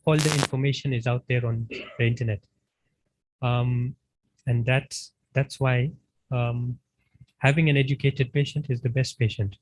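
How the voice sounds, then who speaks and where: Male surgeon, panel talk, halting and reflective; slight hiss behind. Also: medium pitch, steady tone, rough recording. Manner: thoughtful, many fillers and restarts. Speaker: doctor, surgeon. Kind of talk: panel discussion answer.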